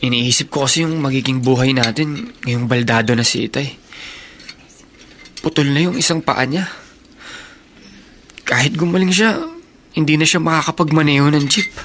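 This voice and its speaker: An actor playing a brooding, worried young older brother in a Filipino radio drama, somber, with a Filipino accent. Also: male voice, a little high, normal speed, long pauses, fairly bright tone, slight background noise, close to an everyday voice.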